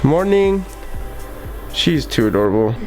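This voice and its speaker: A man's voice: medium pitch, very animated, unhurried, darkish tone, soft background music. Upbeat young man rambling through a vlog. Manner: rambling and upbeat, lots of fillers and restarts. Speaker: vlogger. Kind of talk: casual vlog studio tour. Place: small home office studio, indoors.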